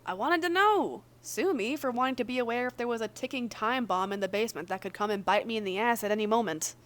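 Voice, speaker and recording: A woman's voice: a little high, quick pace, a bit bright, natural voice. A woman voicing a defensive, exasperated man in a story, argumentative and sarcastic. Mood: indignant, sarcastic.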